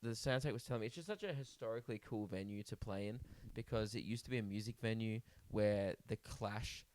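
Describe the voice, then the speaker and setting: A man's voice: lowish voice, a little fast, darkish tone, dry recording. A young man, a comedian co-hosting a podcast, chatting casually and rambling with lots of fillers. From Australia. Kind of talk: casual chat.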